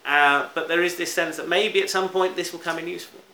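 A man's voice: on the high side, steady tone, a little fast, bright, forceful tone. A British man preaching a sermon in an earnest, reflective manner, stressing key words as he goes. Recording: roomy echo, faint background noise.

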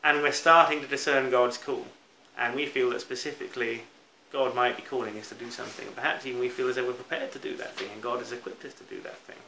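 A man's voice: medium pitch, fairly even intonation, fast, few pauses, sharp tone. A British man preaching a sermon in an earnest, reflective manner, stressing key words as he goes. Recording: roomy echo, faint background noise.

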